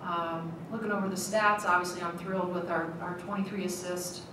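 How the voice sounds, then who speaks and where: Middle-aged woman coach at postgame presser, measured and halting, slightly low voice, very echoey room. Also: level tone. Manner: measured, many ums and uhs, restarts. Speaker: head basketball coach. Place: press room, seated at a table. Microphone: table mic, at a distance. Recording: echoey room, mic at a distance, noisy.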